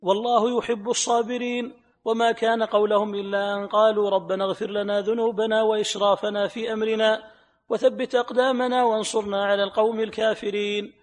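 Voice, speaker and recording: Male student reader, notably high voice, projected scripture reading with long hall reverb. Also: flat delivery, quick pace. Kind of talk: reading a religious text aloud.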